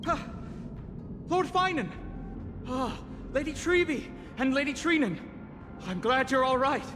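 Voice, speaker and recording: An actor playing a respectful, earnest young messenger in a video game, deferential and formal. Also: male voice, extremely high pitch, lively intonation, unhurried. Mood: relieved. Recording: long reverb, music under the voice.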